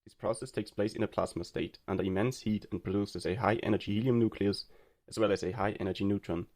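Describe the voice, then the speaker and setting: A young man from Austria giving a read-aloud presentation of a student research project in a steady explanatory way. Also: lowish voice, fast.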